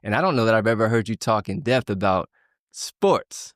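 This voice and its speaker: A man hosting a podcast interview, asking questions in a friendly, measured way. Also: on the low side, expressive, a bit bright, no echo, clean studio sound. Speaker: podcast host.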